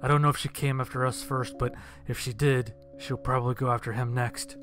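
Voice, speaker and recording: A man's voice: medium pitch, fairly even intonation, brisk, lightly acted. A man playing an anxious, protective husband and father who speaks with urgency in an audio horror story. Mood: tense, planning. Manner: urgent, hushed at times, decisive. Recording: music bed behind the voice.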